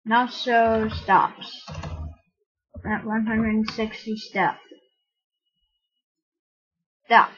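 A tutorial host casually narrating software steps in short, halting fragments. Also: mid-range voice, flat delivery. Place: voice-over for a screen recording. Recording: dull, muffled lo-fi home recording.

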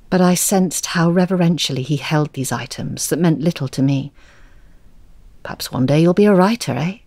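An actress playing a wistful would-be storyteller in an audio drama, speaking in a hushed, measured, reflective way. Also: female voice, low-pitched, gravelly, no room sound. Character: wistful woman confessing as a lifelong would-be storyteller.

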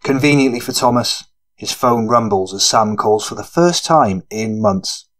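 A man's voice: medium pitch, unhurried, a bit bright. A man reading scripted narration for a gritty fiction audiobook.

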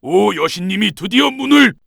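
A man playing a fanatical blind cultist, declaiming fervently in a sharp, gravelly voice in a dark fairy-tale webtoon. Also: high-pitched, animated, moderate pace, exaggerated voice. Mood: fervent, ecstatic. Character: fanatical blind male cultist worshipping a goddess.

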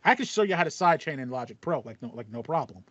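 A man's voice: on the high side, animated, fast, fairly bright tone, dry recording, muffled recording. A young man hosting a podcast, chatting casually and acting out his reactions as he tells stories. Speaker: podcast host. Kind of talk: casual chat. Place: small home room with foam panel, indoors.

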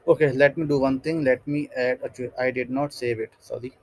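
A man giving a screen-recorded software tutorial, thinking aloud step by step with many fillers and restarts. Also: medium pitch, fairly even intonation, brisk, no room sound. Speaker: tutorial instructor.

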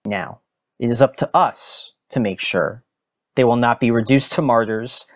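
An American man, a podcast host, gives a dramatic, deliberate reading of a political commentary with weighted pauses. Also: medium pitch. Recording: dull lo-fi sound with music behind.

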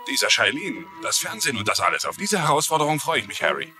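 A man's voice: mid-range voice, sing-song, fast talker, music in the background, lightly acted. An actor playing a humble, polite, resigned journeyman boxer in a TV crime drama dub. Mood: eager. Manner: polite, earnest. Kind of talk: German-dubbed TV crime drama.

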